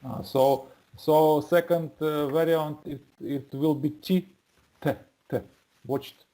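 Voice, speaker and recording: An English learner with a non-native accent, speaking haltingly in a lesson and repeating words to practise. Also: mid-range voice, fairly even intonation, dark tone. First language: not English.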